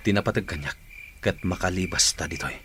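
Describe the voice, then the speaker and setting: An actor playing a remorseful, determined young rebel in a radio drama. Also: male voice, sits low, fairly quick, gravelly, fairly bright tone, slight background noise. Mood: earnest, determined. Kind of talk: Ilocano radio drama acting.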